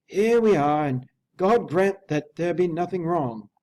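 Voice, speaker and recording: A man voicing a frightened, dogged butler who speaks haltingly and deferentially in a hoarse, strained voice, as in an audiobook. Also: sits high, lively intonation, a little mellow, pitched above the performer's own voice. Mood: grim dread. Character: frightened, dogged, servile old-fashioned butler.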